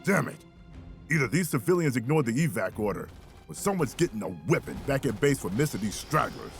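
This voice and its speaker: A gruff, sardonic infantry officer for a military video game. His delivery is commanding and very animated, with wry clipped phrasing over clearly audible music. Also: mid-range voice, a little fast. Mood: irritated, urgent. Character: gruff, sardonic mobile infantry field commander barking orders. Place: studio voice recording, music behind.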